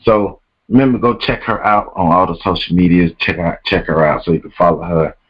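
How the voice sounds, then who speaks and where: A man hosting his podcast in a very deep, smooth voice. He talks in a laid-back way, repeating himself and using casual slang. The recording sounds dull and lo-fi. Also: fairly even intonation, brisk. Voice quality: smooth "quiet storm" voice. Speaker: podcast host. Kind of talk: casual show wrap-up.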